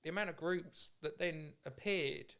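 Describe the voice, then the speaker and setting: Clear, higher-voiced man chatting informally. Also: a little slow, fairly bright tone. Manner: informal, candid, agreeable. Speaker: podcast host. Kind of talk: casual chat.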